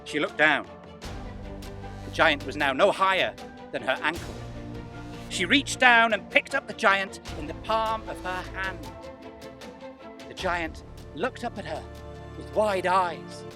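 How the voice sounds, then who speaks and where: A man narrating a folktale as a storyteller, theatrical and emphatic, with suspenseful pauses. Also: high voice, animated, over music.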